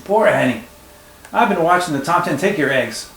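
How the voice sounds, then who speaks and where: Brief: gentle storybook hedgehog, read by a man, reassuring, slightly raised voice. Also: fairly high pitch, lively intonation, normal speed, vocal fry, echoey room. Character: kind, helpful little hedgehog.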